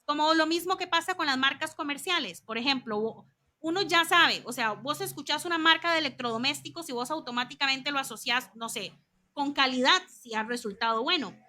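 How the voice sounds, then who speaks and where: A woman in her thirties with a Costa Rican accent, a guest telling stories in an animated, chatty way in an interview. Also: sits high, brisk. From Costa Rica. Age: 30s. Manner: animated, chatty storytelling with lots of asides. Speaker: guest, communications consultant.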